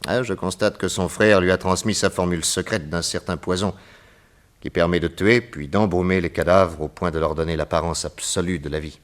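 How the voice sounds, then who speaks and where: An actor playing a calm, shrewd middle-aged detective in a radio drama, speaking in a measured, grave and deliberate way. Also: male voice, low-pitched, natural voice. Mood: explaining. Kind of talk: 1940s radio acting.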